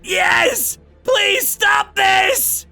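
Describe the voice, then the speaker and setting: A man voicing a whining, self-excusing boss in a manga dub, frantic and shrill as he pleads. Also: very slow, vocal fry, soft background music, full character voice. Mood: desperate pleading. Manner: shrill, frantic, shouting pleas. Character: panicking, whining, self-excusing male boss being tortured.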